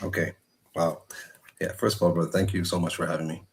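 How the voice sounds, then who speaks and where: Deep-voiced man from Morocco, halting storyteller. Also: flat delivery, vocal fry, slight background noise. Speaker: guest sharing personal story. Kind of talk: casual personal storytelling.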